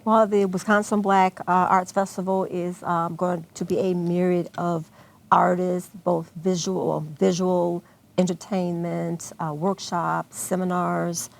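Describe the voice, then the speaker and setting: A woman's voice: fairly low pitch, flat delivery, a little slow, creaky. An American woman answering questions as a guest organizer in an unscripted television interview. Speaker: guest, arts festival organizer.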